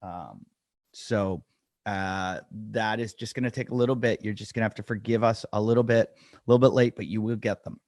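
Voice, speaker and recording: A man in a dry, close-miked room hosts a casual podcast in a lowish voice. He rambles, jokes at his own expense and leans on fillers. It sounds like an unscripted chat to his listeners. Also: moderate pace, a little mellow, dry recording. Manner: rambling, self-deprecating, with frequent fillers. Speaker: podcast host.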